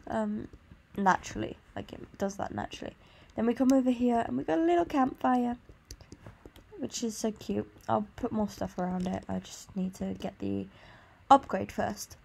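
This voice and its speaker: A woman's voice: mid-range voice, normal speed, gravelly, darkish tone, slight background noise. A tired woman with a congested sore throat gives an informal, meandering tour commentary on a video game. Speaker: gaming video host. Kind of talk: casual gameplay tour commentary.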